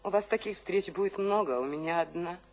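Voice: mid-range voice, quick pace, lightly acted. An actor playing a sorrowful, exalted young romantic in a poetic radio drama, with fervent declamation. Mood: wistful farewell.

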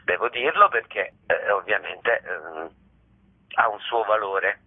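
A man's voice: fairly low pitch, slow pace, breathy edge. A man giving an informal radio interview as a theatre director, talking in a rambling, emphatic way over the telephone. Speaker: guest theatre director presenting a play. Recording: muffled narrow phone line.

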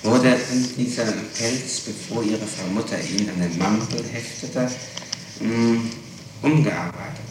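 A man questioning a witness as prosecutor in a formal interrogation, halting, methodical and insistent. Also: on the low side. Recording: echoey, distant, noisy 1960s archive tape.

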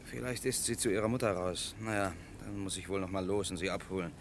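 A man's voice: low voice, steady tone, fast, noisy background. An actor playing a man who comes home to find his wife murdered, in 1960s radio drama style. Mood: neighborly. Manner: friendly neighborly chatter turning to anguished calling. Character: husband discovering his wife dead, increasingly frantic.

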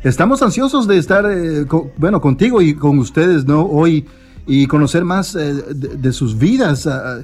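Eager male radio host, bit high-pitched, halting delivery with fillers. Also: expressive, a little mellow, soft background music. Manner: enthusiastic, hesitant, many fillers. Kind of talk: radio interview.

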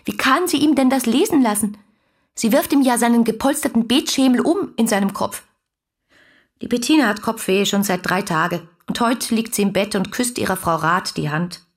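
A breathy, ardent young woman. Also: fairly high pitch, normal speed. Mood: confiding, wistful. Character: ardent, dreamy, headstrong young woman writing love letters. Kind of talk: dramatised letter reading in a German radio play.

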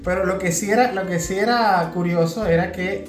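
A woman's voice: mid-range voice, normal speed. A Venezuelan woman chatting casually as a podcast co-host, explaining things and often repeating words as she goes. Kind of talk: casual chat. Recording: roomy echo, guitar music behind. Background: guitar music.

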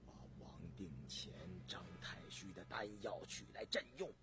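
Male narrator as old emperor, deep hushed voice, breathy, deliberate, imperious, storybook character. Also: sing-song, exaggerated voice. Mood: weary. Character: weary ageing Chinese emperor, measured, commanding, sometimes testy.

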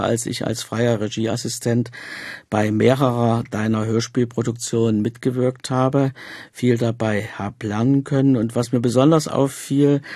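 Husky older man interviewing, formal phrasing. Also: mid-range voice, a little mellow, no echo, studio quality. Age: middle-aged or older. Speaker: interviewer and radio drama department head. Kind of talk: prepared interview introduction and questions.

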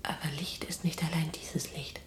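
An actress playing a weary but defiant, tender imprisoned resistance fighter in a German radio drama, speaking in a breathy, halting, intimate hush. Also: female voice, sits low, level tone, echoey room, close to an everyday voice. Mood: tender, wistful. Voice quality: breathy whispering. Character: exhausted, defiant, tender imprisoned resistance woman in wartime Netherlands.

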